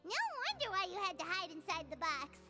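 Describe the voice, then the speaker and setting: A woman's voice: very high voice, very animated, fairly quick, exaggerated voice. A woman voicing a tiny, chirpy fairy companion girl in a video game, who speaks of herself in the third person. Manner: bubbly, exclaiming. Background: loud game music.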